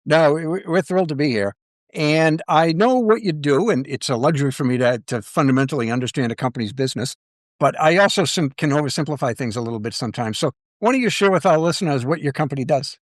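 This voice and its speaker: A man's voice: a little high, lively intonation, fast, no echo. Middle-aged man hosting, dry close sound. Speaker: podcast host, interviewer. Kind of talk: casual conversational interviewing.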